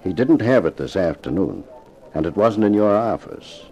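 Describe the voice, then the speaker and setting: An actor playing a big, menacing gangster in a 1950s radio crime drama, sneering slangy threats. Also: male voice, on the low side, lively intonation, moderate pace, darkish tone, close to an everyday voice. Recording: vintage 1950s radio recording with music.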